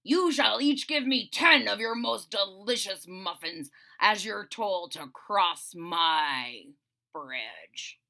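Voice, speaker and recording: A woman's voice: lively intonation, gravelly, heavily acted. Woman voicing a big, blustering, muffin-hungry storybook dragon. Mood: blustering, threatening. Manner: mumbling, rumbling, drawn-out words with loud roars. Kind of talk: children's storybook read-aloud.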